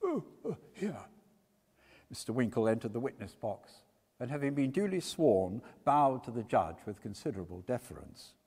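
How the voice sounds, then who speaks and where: A man's voice: mid-range voice, expressive, moderate pace, dark tone, husky. A man narrating as a reader of literary scenes, in a dramatic storytelling style. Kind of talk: dramatic stage reading, storytelling. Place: church, before an audience. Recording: reverberant church hall.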